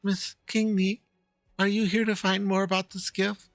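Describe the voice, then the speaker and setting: Man as a high, timid young female judge. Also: little pitch movement, normal speed, gravelly, no echo, faint music behind. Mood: curious. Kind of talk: amateur dramatic reading of game dialogue.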